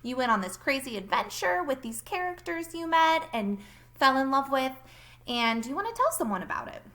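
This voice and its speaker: A woman teacher giving a read-aloud lesson to young children, expressive and instructive, thinking aloud as she goes. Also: high-pitched, normal speed. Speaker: primary school teacher.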